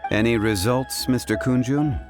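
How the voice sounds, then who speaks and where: A man voicing a wise, composed gentleman in an anime-style video game, speaking in a measured, formal, scholarly way. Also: mid-range voice, over music, close to an everyday voice.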